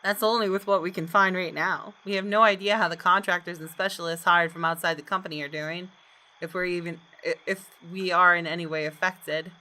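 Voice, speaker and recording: A woman's voice: lowish voice, fairly even intonation, fairly quick, sharp tone. A woman hosting a gaming video, reading visual novel narration aloud with dramatic expression.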